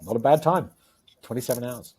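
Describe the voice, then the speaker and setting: A husky-voiced man in his fifties chats on a podcast with real enthusiasm. He tells stories in a loose, rambling way, with plenty of "uh"s and sudden jumps in excitement. His pitch sits in the middle for a man, with an edge of breathiness. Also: a little fast. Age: 50s. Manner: enthusiastic, animated storyteller with many fillers. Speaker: podcast co-host. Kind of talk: casual podcast chat.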